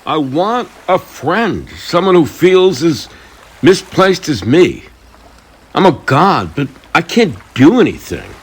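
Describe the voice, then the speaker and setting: A man playing a weary, brooding thunder god in a mythic audio drama, confiding and halting in his burdened role as protector. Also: fairly high pitch, very animated, slightly rough, heavily acted. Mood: vulnerable, pleading.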